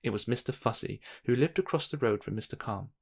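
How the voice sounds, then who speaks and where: A man narrating a children's bedtime story in an expressive, measured read-aloud style. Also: medium pitch, creaky.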